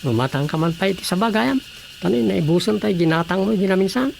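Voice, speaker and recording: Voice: mid-range voice, lively intonation, fairly quick, vocal fry, darkish tone. An actor playing a pensive writer stuck for ideas in a radio drama, with faint noise behind. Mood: casual.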